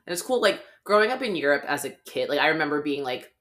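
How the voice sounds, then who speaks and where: Young woman, deep low voice, chatty rambling podcast co-host, filler-heavy. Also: big pitch swings, fast, fairly bright tone. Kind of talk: casual podcast chat.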